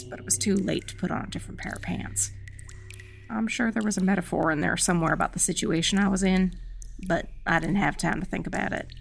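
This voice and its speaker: Very creaky female audiobook narrator. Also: fairly low pitch. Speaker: first-person narrator. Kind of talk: scripted dark fantasy audiobook narration.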